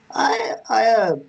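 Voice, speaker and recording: An actor playing a shy, apologetic, gentle young man in a romance table read, stammering and halting as he speaks. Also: high voice, gravelly, heavily acted, low-quality recording. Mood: shy, embarrassed.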